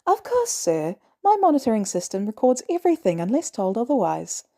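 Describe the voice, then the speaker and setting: Woman voicing a calm, polite AI assistant, high voice. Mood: calm, helpful. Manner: measured, formal, helpful.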